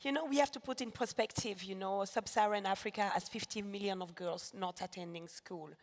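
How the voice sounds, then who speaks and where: A middle-aged woman from the Democratic Republic of Congo, a foundation leader speaking earnestly on a panel, with hesitant pauses. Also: mid-range voice, steady tone. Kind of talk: panel talk. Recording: long hall reverb.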